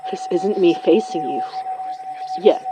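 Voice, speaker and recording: An actress playing a stubborn, protective young magic practitioner in an audio drama, earnest and confrontational. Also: female voice, on the low side, unhurried, very noisy, close to an everyday voice. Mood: determined.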